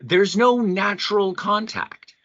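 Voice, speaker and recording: An actor playing an indignant, devout spiritual believer in an audio play debate, earnest and impassioned against machines. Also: male voice, high-pitched, slow pace, fairly bright tone, highs cut off. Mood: fervent.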